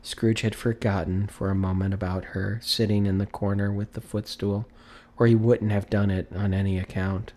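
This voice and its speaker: A man reading a classic story aloud as an audiobook narrator, in an expressive, theatrical storytelling manner. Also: lowish voice, moderate pace.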